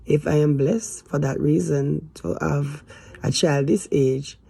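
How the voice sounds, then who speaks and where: A woman in her fifties talking hesitantly to camera in a casual, rambling way, with sighs and trailing pauses. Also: deep voice, fairly even intonation, vocal fry, mellow, breathy edge. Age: 50s. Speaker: vlogger. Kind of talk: casual confessional talk to camera.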